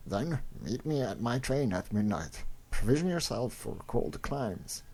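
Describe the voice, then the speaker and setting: A man playing a bold, courteous gentleman adventurer, urbane and determined, in a comic-book drama. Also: mid-range voice, sing-song, a little slow, heavily acted. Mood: confident urgency. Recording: clearly noisy recording.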